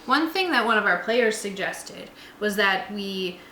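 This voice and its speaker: Woman, bright forward voice, mid pitch, casual review co-host, roomy echo and faint noise. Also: expressive, measured pace. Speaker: co-host and player reviewing a tabletop roleplaying game.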